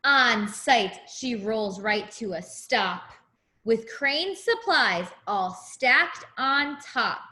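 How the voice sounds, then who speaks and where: A woman reading a picture book aloud to young children as a teacher, in an expressive, deliberate way with simple explanations. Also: fairly high pitch. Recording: echoey room.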